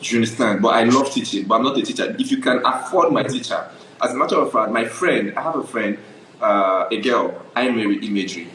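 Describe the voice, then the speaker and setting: A man's voice: medium pitch, quick pace, fairly bright tone, roomy sound, a little hiss or hum, poor audio. A young adult Nigerian man, a photographer guest, telling his story in a casual interview, often checking "do you understand?".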